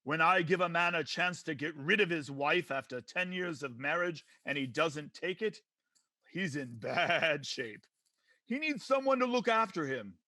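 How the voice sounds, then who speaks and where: An actor playing a pompous, preachy judge in an old-time radio comedy, with theatrical delivery. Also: male voice, fairly high pitch, lively intonation, unhurried, bright, forceful tone, no room sound. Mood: pompous, wry. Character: pompous male judge presiding over comic domestic court.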